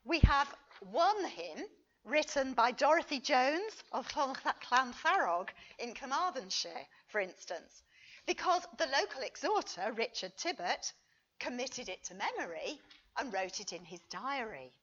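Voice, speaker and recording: A woman lecturer reads a prepared paper in a slightly dull, lo-fi recording. Her delivery is unhurried and deliberate. She speaks expressively, with lively rises and falls across each sentence. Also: sits high, slight background noise. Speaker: lecturer reading a conference paper. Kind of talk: scripted academic lecture read aloud. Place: conference talk.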